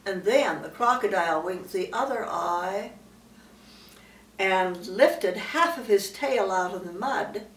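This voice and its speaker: Unhurried elderly woman reading a children's story. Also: mid-range voice. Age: older, great-grandmother. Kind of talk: children's story read aloud with asides. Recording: roomy, echoey, slightly distant mic.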